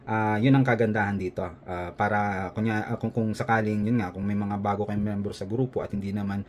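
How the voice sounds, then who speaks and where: A man's voice: on the low side, fairly even intonation, brisk, slight background noise. A man with a Filipino accent presenting a product in a casual vlog style, explaining conversationally and often checking in with a short tag question. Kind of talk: casual unboxing and product review, explaining as he goes.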